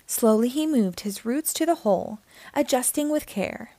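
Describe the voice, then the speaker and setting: A woman hosting storytime, reading a children's picture book aloud in an expressive, deliberate storytelling manner. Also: on the high side, no room sound. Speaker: storytime host and reader.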